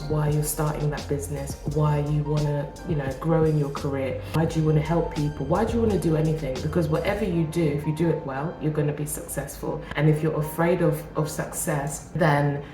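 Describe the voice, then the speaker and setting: A woman talking to camera as a self-improvement vlogger, encouraging and casual, her voice nasal and groggy from a cold. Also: deep voice. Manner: earnest, encouraging, casual with restarts. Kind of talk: motivational talk to camera. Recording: roomy echo with music behind. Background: background music.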